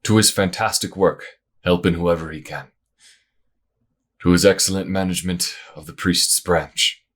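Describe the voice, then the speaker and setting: An actor playing a caring priest and devoted partner in a fantasy audio drama, speaking gently and reassuringly. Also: male voice, low voice, steady tone, normal speed. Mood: proud toast. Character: Leo, caring priest, warm devoted partner and party host.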